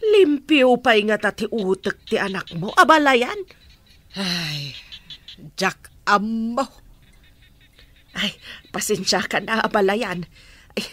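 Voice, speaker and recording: Concerned, questioning middle-aged mother played by a woman, Ilocano Filipino accent, mid-pitched, radio drama. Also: lively intonation, slow, long pauses, bright, forceful tone, full character voice. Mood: worried.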